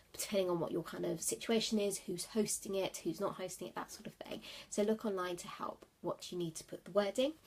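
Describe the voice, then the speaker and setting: A woman speaks in a husky, slightly breathy voice, sniffly from a winter cold. She talks in a loose, chatty way, full of fillers and asides, as she hands out wedding-planning tips. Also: medium pitch. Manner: chatty, rambling, many fillers. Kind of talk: casual livestream tips. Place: office at night.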